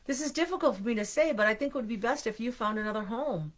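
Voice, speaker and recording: A woman's voice: on the high side, fast, natural voice. A woman voicing a kindly, apologetic man who wants a companion, in a children's storybook read-aloud. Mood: sad, apologetic.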